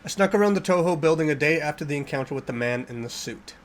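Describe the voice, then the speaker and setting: A man's voice: on the high side, animated, fast talker. A man giving casual reaction commentary as a video host, jittery and often repeating himself.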